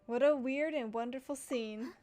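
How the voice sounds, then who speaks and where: A woman's voice: high-pitched, normal speed, dark tone. A young woman giving casual playthrough commentary, reacting with exclamations and chatting to herself as she plays. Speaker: gaming video creator. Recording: game music in the background.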